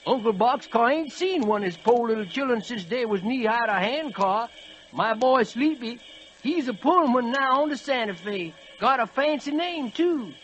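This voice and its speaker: A man's voice: extremely high pitch, fairly bright tone, heavily acted. A man playing a folksy, weary old freight locomotive with an American Southern accent, in a 1940s radio play. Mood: proud, fond. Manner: folksy, rambling dialect talk.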